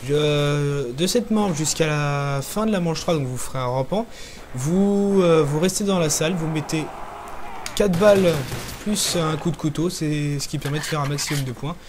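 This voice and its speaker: A young man hosting a gaming channel, talking through a zombie game in casual commentary, hesitant with lots of pauses and fillers. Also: a little high, noisy background. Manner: hesitant, many pauses and fillers, talks while playing.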